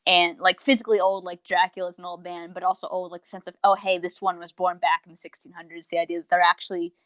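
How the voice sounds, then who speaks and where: A woman's voice: lowish voice, fast, no echo. A talkative young woman co-hosting a casual fan discussion, rambling along and acting out quotes as she goes. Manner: talkative, rambling, animated retelling with acted-out quotes. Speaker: podcast co-host and reviewer. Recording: dull lo-fi voice recording.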